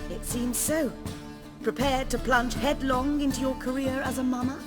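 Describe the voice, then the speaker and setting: Woman acting poised, high-voiced theatre lady. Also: steady tone, normal speed, close to an everyday voice. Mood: encouraging. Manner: articulate, theatrical, gently persuasive. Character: poised, refined theatre troupe woman, experienced stage performer. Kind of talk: video game dialogue. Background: music.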